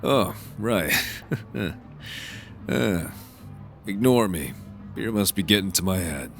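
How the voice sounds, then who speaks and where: A man's voice: sits low, expressive, slow, vocal fry, soft background music, full character voice. A man playing a jovial, blunt middle-aged insurance salesman and family man in a radio drama, talking in a joking, folksy way. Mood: loose, joking.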